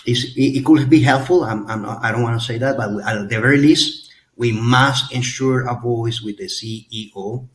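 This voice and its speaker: A middle-aged man answering as a panelist on a webinar, measured and thoughtful in his phrasing. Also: mid-range voice.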